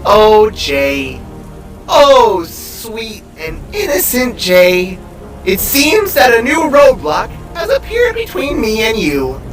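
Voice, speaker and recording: A man voicing a gleeful, megalomaniac glitch villain from a fantasy story, with theatrical, mocking, drawn-out delivery. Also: very high-pitched, huge pitch swings, a bit bright, roomy sound, far from the mic, soft background music, voice pushed up, heavily acted. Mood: mocking, confident. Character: gleeful megalomaniac male glitch being, grandiose taunting villain.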